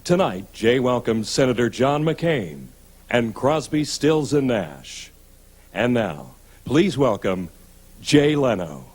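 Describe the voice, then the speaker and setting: A male talk show announcer with a slightly husky voice and big swings in pitch. He stretches names out slowly like a showman introducing the stars of the night. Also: big pitch swings, a little mellow. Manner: drawn-out showman delivery.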